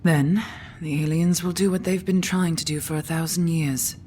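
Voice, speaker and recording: An actress playing an immortal woman leader in a sci-fi audio drama, intimate and hushed, tender yet weary and resolute. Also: lowish voice, steady tone, normal speed, slight background noise, close to an everyday voice. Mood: grave. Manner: intimate, hushed, often slipping into whisper. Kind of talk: full-cast sci-fi audio drama acting.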